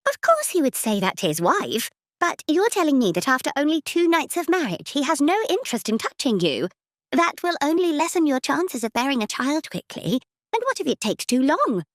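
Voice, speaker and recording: Female narrator as young maidservant in period romance audiobook, high-voiced, naive busybody, earnest, close dry sound. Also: very animated, normal speed, no room sound. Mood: worried, insistent. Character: anxious young lady's maid, naive busybody, deferential. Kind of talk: audiobook character acting.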